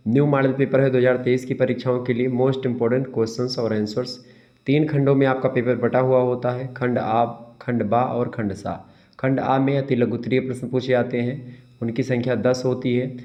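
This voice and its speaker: A man's voice: medium pitch, flat delivery, moderate pace. A man with a sore throat giving an exam-prep lecture, repeating key terms and answering his own questions. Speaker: teacher. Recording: dull lo-fi sound.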